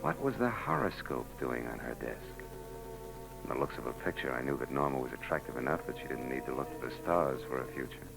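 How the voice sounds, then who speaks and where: A man's voice: extremely low pitch, animated, fairly quick, gravelly, heavily acted, poor audio. An actor playing a hard-boiled private eye who narrates his case in a 1940s radio drama, wry and world-weary. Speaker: narrator and lead. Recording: old radio broadcast with background music.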